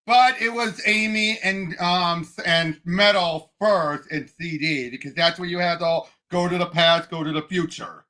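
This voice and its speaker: A man co-hosting a podcast, discussing a movie casually in an excitable, emphatic fan's way. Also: high-pitched, normal speed, few pauses. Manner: excitable, emphatic fan talk. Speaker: podcast co-host.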